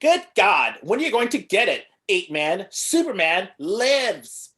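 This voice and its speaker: A woman's voice: medium pitch, sing-song, measured pace, a bit bright. A woman playing a sassy robot sidekick to a supervillain in a script read, with a sardonic manner. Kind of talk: amateur table read of a film script.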